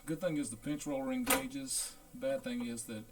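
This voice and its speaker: A man talking through a hands-on equipment check as a repair technician, in a casual, unhurried, halting way, thinking aloud. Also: fairly high pitch, very animated, heavy background noise. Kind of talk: casual hands-on demonstration narration.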